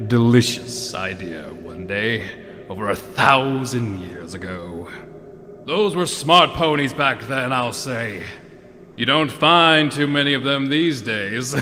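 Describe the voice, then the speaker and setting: A man's voice: mid-range voice, bright, forceful tone, slight background noise. A man playing a sadistic pony doctor who guides a tour with theatrical, gloating mock courtesy in a horror story reading. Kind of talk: dramatized horror fan fiction reading. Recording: long hall reverb, music behind.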